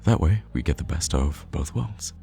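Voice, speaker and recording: Male actor as playful, teasing young guy friend for audio roleplay; extremely low, gravelly voice, casual animated banter. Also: moderate pace, exaggerated voice. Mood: casual, enthusiastic. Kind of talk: conversational ASMR roleplay acting.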